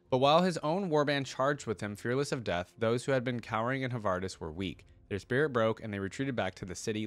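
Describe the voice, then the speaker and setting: A man's voice: on the low side, no echo. A man hosting a reaction video, speaking conversationally as he comments and reads lore aloud. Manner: conversational, interjects his own reactions while reading. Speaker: YouTube reaction host.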